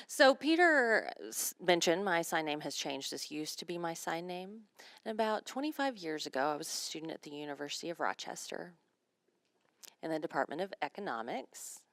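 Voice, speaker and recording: A woman with a notably low, deep voice gives a spoken interpretation of a seminar lecture. She moves through it in measured phrases, and the sound is close and dry with little room around it. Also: a bit bright, dry recording. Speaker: sign language interpreter voicing a signed lecture.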